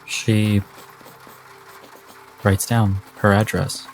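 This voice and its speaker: A man's voice: on the low side, little pitch movement, very slow, creaky, faint music behind. A man narrating a tabletop game as the game master, in an improvised storytelling style.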